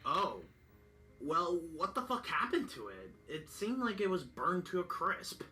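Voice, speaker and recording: A woman plays a blunt pony mare in a fan cartoon, her low, mellow voice leaping wildly up and down in pitch. Music sits faintly behind her. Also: sing-song, a little slow, heavily acted. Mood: curious.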